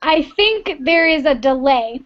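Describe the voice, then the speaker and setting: Friendly woman teacher with a high voice, coaxing students along on a dull video-call line. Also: expressive, a little slow. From Texas, United States. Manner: friendly, patient, encouraging. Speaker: English teacher. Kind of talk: online class small talk. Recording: muffled video-call audio.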